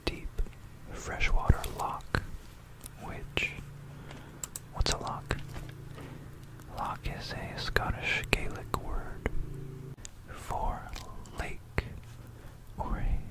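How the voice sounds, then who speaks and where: Slow, whispering ASMR history explainer. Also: low-quality recording. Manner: whispering throughout, unhurried and explanatory. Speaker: ASMR host. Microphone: close stereo binaural microphone.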